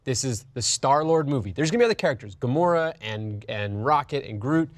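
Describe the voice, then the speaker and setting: A man's voice: medium pitch, animated, a little fast, no room sound. Man in an emphatic casual discussion, dry sound. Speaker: talk show panelist.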